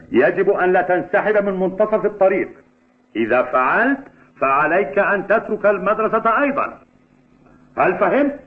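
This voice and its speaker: An actor playing a gruff, stern middle-aged father who runs a restaurant, blunt and scolding, in a dubbed cartoon. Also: sits high. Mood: stern, firm. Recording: tinny band-limited audio with music behind.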